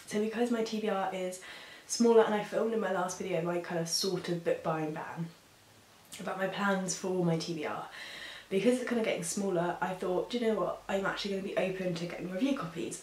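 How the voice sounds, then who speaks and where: A young woman, hoarse from a sore throat, chatting casually about books with frequent ums. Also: on the low side, steady tone, brisk, a little mellow. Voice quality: husky. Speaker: book reviewer. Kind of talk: casual chat to camera. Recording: roomy echo with faint hiss.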